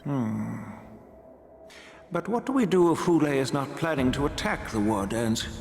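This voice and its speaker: An actor playing an old veteran warrior in a video game, formal, deliberate and steady. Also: male voice, mid-range voice, gravelly, slight background noise. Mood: sober questioning. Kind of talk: video game cutscene dialogue. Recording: echoey hall, faint music.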